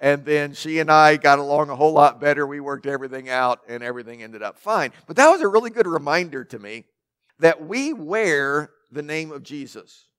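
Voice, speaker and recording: A man preaching from the pulpit, his loud voice sitting a little high for a man. He presses his points with rhetorical questions and stacked lists, rising and falling as he builds. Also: animated, fairly quick, clean tone. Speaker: pastor. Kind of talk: sermon.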